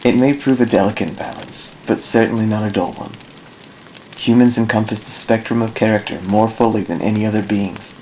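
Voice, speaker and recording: A man playing a thoughtful dark elf ranger in an amateur audio drama, speaking in a solemn, measured, formal way. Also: fairly low pitch, steady tone, close to an everyday voice. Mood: solemn, reflective. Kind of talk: fan audio drama narration, literary monologue. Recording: noticeably noisy amateur recording.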